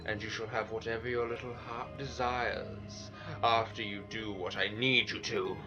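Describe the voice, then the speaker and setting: A man playing an arrogant god of darkness in a dramatic story reading, with a slow, scoffing, threatening manner. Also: fairly low pitch, flat delivery, sharp tone, dull audio. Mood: scheming. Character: menacing, arrogant male god of darkness and disorder. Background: music.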